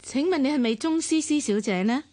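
A woman's voice: sits high, animated, a little fast, dark tone, dry recording. A woman acting a polite, hesitant company employee in a Hong Kong Cantonese radio drama. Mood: polite, tentative.

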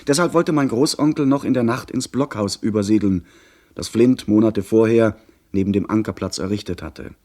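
A man playing an earnest young gentleman who narrates his pirate adventure in measured, literary German radio-drama style. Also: on the low side, lightly acted. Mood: grave, recounting.

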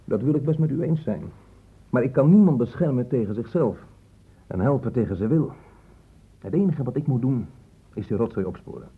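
Gruff police inspector, husky, phone-like sound. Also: male voice, mid-range voice, animated, brisk, long pauses. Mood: blunt, stern. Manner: blunt, sardonic, colloquial. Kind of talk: radio drama acting. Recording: muffled phone-like old radio recording.

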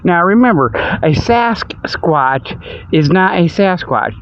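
A man's voice: sits high, lively intonation, slow pace, a bit bright. A man hosting a comic radio-style show, rambling in a folksy, ungrammatical way through mock sponsor reads and casual monologue. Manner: folksy, rambling, ungrammatical, peppered with "okay" and "you know". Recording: dull lo-fi audio with background noise.

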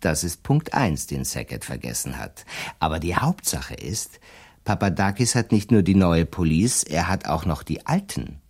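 An actor playing a shrewd, self-assured defence lawyer in a radio play, explaining his schemes deliberately and slyly. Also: deep voice, sing-song, darkish tone, husky, full character voice. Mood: confident, sly. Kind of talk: German radio drama dialogue.

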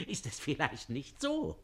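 Süffisanter, intriganter Klatschonkel. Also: male voice, a little high, a bit bright, breathy edge, exaggerated voice. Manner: sniggering, oily, mock-deferential. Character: sly, gossiping, insinuating male go-between and servant. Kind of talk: German radio play acting.

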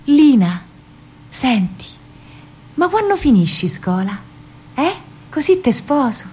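A woman's voice: sits high, very animated, takes it slowly, darkish tone, voice pushed up, heavily acted. A woman voicing an awkward, lovesick Roman boy who pleads hesitantly, with a Roman accent, in a radio monologue. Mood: pleading.

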